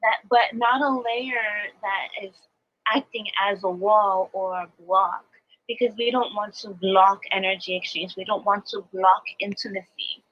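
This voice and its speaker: Woman, mid-pitched, earnest spiritual teacher giving reflective live guidance; slightly muffled lo-fi sound. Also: steady tone, measured pace. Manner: earnest, reflective, explanatory, new-age vocabulary. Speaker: spiritual teacher, astrology adviser. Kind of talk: live spiritual coaching talk, semi-monologue.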